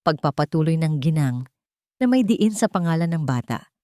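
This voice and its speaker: A woman's voice: low-pitched, expressive, moderate pace, warm tone, no echo, clean studio sound. A woman narrator reading a scripted romantic drama story with dramatic delivery.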